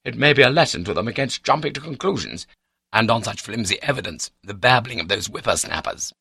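A man's voice: on the low side, very animated, brisk, vocal fry, bright, forceful tone, breathy edge, dry recording, studio quality, voice pushed up, exaggerated voice. A man voicing an arrogant, indignant upper-class baronet in an audiobook, with a blustering, sneering and haughty manner. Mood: haughty, vindictive outrage. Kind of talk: audiobook character dialogue.

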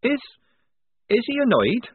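A man voicing an anxious, dutiful young woman soldier who squeaks, quavers and snaps. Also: very animated, brisk, breathy edge, no room sound, narrow, tinny audio. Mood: horrified.

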